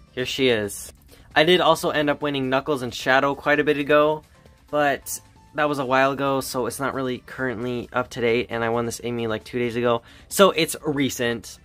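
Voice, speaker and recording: A man's voice: mid-range voice, a bit bright. A teenage boy talking casually and offhandedly while reviewing toys, his hands handling them on a table. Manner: chatty, offhand. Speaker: toy reviewer. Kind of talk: casual toy review vlog.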